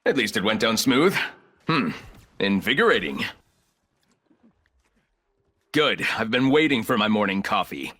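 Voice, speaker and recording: An actor playing an arrogant, ruthless, calculating corporate villain in a video game, speaking in clipped, condescending lines. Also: male voice, on the low side, big pitch swings, a little slow, long pauses, pushed and bright, exaggerated voice. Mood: dry, disdainful.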